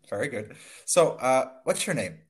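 A young man tutoring English over a video call on a headset in a small room, friendly and slow, laughing often. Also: medium pitch, lively intonation. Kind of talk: casual video-call lesson.

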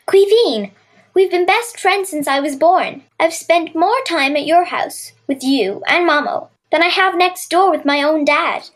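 A woman playing an earnest, warm-hearted young Irish girl in a children's audio drama. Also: extremely high pitch, unhurried.

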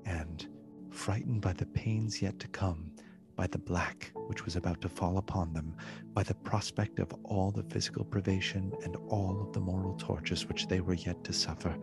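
Low gravelly-husky guy narrating a gothic radio drama, solemn and measured, music behind. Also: steady tone. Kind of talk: scripted literary narration for a radio play.

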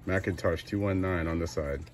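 A man's voice: low-pitched, a little fast, a little hiss or hum. An American man making casual CB radio calls in a clipped way, repeating call signs. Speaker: CB radio operator.

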